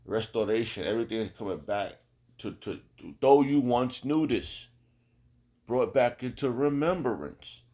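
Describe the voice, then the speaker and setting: A man's voice: medium pitch, a little mellow. A man with an American accent teaching scripture informally, in a colloquial, emphatic way, repeating phrases and checking in with rhetorical questions. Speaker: religious teacher.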